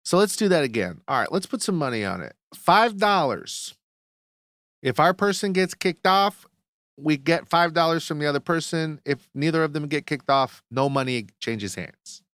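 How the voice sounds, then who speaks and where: A man co-hosting a podcast, trading jokes in quick, playful banter. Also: fairly high pitch, animated, a bit bright, no room sound, clean studio sound. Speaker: podcast co-host. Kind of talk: casual banter.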